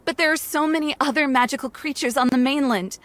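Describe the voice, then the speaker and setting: A woman's voice: high voice, fast talker, a bit bright, a little hiss or hum. An actress playing a determined, hopeful young werewolf woman in a game cutscene, speaking in an earnest, resolute and pleading way. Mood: urgent, pleading. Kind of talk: dramatic game cutscene acting.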